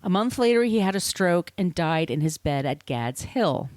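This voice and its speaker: Lively, irreverent woman podcast host. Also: on the low side, normal speed, dry recording. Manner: irreverent, casually sweary. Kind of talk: conversational storytelling from researched notes.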